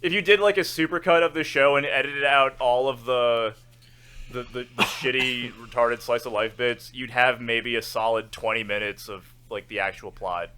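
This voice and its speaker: Bright-voiced man joking on a podcast. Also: mid-range voice, steady tone, normal speed, a little hiss or hum. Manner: joking, swears freely. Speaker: podcast co-host. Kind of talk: casual podcast banter.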